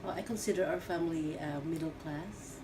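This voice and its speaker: A middle-aged woman from the Philippines, answering oral history questions in a halting way with many fillers and restarts. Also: deep voice. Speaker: interviewee. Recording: distant mic with background noise.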